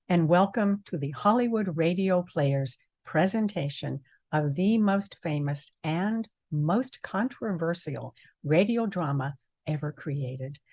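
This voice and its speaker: Older lady director introducing a radio play, measured and formal. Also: sits low, a little mellow, rough recording. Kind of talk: prepared spoken introduction.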